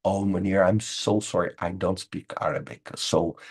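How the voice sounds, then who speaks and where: Husky-voiced man, emphatic webinar host. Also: low voice, fairly even intonation, normal speed. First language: Portuguese. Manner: emphatic, repeats words, says "okay?" a lot. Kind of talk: informal webinar talk answering chat questions. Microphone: video call.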